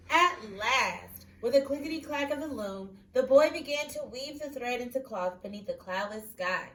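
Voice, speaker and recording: Echoey, higher-voiced woman reading to children. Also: lively intonation, a bit bright. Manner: instructive, emphatic, pauses to question and explain. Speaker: teacher reading a picture book aloud to pupils. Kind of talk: expressive story read-aloud with questions to children. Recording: echoey room, distant mic, faint noise.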